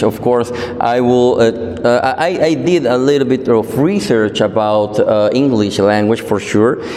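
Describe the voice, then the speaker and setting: A man with a Guatemalan Spanish accent delivers a sermon in English, his second language. He talks slowly and carefully, stopping often with fillers and restarting his phrases. His voice rings out with a long reverb in a roomy hall. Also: medium pitch, a little mellow. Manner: halting, many fillers and restarts. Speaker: preacher.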